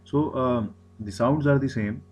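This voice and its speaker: A man's voice: medium pitch, unhurried, darkish tone, muffled recording. A man, an Urdu speaker, giving a recorded lecture, often repeating himself and restarting phrases. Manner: repetitive, many restarts and fillers.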